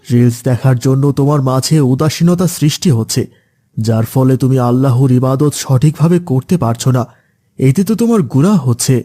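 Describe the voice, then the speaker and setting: A man's voice: medium pitch, expressive, brisk, dark tone, natural voice. A man voicing a stern, lecturing father figure in a children's cartoon. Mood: stern, admonishing. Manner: lecturing, admonishing. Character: stern preachy father, later gruff scolding gambler uncle. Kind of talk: Islamic children's cartoon dubbing.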